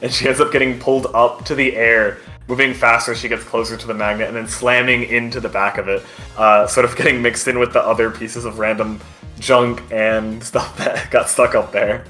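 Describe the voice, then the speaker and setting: A man serves as game master, improvising scene descriptions in a halting manner. His pitch is average, and faint music plays behind him. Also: flat delivery, sharp tone. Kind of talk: improvised tabletop game narration.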